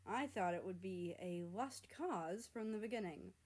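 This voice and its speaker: A woman's voice: medium pitch, measured pace, darkish tone, close to an everyday voice. A woman voicing a scornful, bickering teenage sister with a snarky, mocking manner in a story reading.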